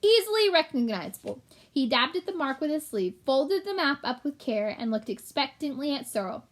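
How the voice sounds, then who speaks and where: A woman with a fairly high voice reads a storybook aloud for children. She narrates expressively and in a clear teaching manner, as if making sure young listeners follow. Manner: explanatory, pausing to clarify for young listeners. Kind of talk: expressive children's book read-aloud with explanations.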